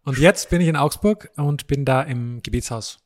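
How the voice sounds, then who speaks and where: A man's voice: medium pitch, moderate pace. A man in his thirties from Austria, a guest on a casual podcast interview who talks in a friendly way and keeps affirming with short agreeing words. He was recorded in a youth camp dining hall. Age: 30s. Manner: friendly, affirms often with "genau".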